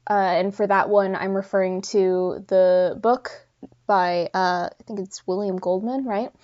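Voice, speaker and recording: A woman with a mid-pitched, slightly congested voice talks casually as a solo podcast host. She speaks off the cuff, rambling and rephrasing as she thinks. The recording is somewhat dull and lo-fi. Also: steady tone, measured pace, a little mellow. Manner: rambling, self-correcting, thinking aloud. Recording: dull, lo-fi sound.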